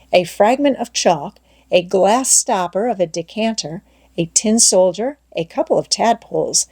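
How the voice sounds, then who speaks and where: Female audiobook narrator, unhurried and deliberate, mid-range pitch, expressive storytelling read.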